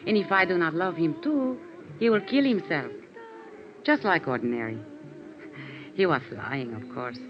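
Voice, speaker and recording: Actress as a lilting, coy Latin bar hostess. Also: female voice, lowish voice, normal speed, long pauses, close to an everyday voice. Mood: playful. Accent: Latin American Spanish. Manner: broken English, lilting and expressive. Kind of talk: 1950s radio drama acting. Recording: dull vintage radio recording with music.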